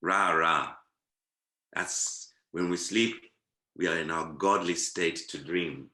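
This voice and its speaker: A man's voice: low voice, slow, sharp tone, roomy sound. A man from Zimbabwe, a guest talking in a reflective conversation, thoughtful and repeating words to savor them. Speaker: guest, performing artist.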